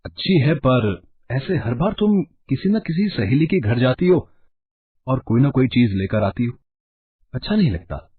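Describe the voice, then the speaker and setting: Man acting young husband, disapproving, husky, lively pitch, cartoon story, narrow dry recording. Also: unhurried, no echo. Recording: narrow phone-like sound.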